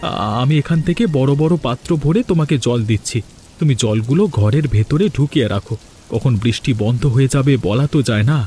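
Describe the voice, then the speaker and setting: Patient village husband, mid-pitched man acting. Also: fairly quick, mellow, slight background noise, lightly acted. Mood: protective. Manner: earnest, reassuring. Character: poor, patient, soothing village husband seeking work. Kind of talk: Bengali cartoon voice acting. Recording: music behind the voice.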